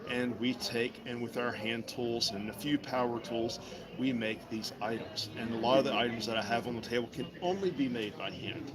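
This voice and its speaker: A man's voice: medium pitch, normal speed. A man demonstrating wood carving at his table, chatting in a friendly, folksy way with passing customers. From Virginia. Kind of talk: casual demonstration chat. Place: vendor table in an indoor mall. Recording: busy mall crowd around the table. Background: crowd chatter.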